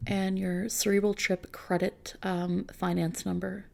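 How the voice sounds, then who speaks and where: Soft, hushed, creaky-voiced woman doing ASMR. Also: medium pitch, little pitch movement, measured pace. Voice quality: soft, hushed, often slipping into whispers. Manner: gentle, reassuring, attentive client-service talk. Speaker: ASMR roleplay performer playing a customization consultant. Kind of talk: soft-spoken ASMR roleplay.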